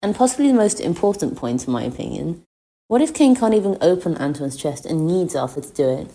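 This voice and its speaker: A woman's voice: on the low side, very animated, a little fast, warm tone, poor audio. A woman leaving a casual listener voice message, arguing her opinion in a playful way.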